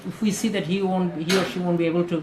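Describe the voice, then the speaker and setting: A man talks through exam advice in a casual discussion. He pauses often and fills the gaps with hesitations. The sound is roomy, with a faint background noise. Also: high voice, flat delivery. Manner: hesitant, many fillers, slow deliberate phrasing. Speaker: IELTS trainer. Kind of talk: casual discussion, mixing in Bengali. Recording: echoey room with faint ambient noise.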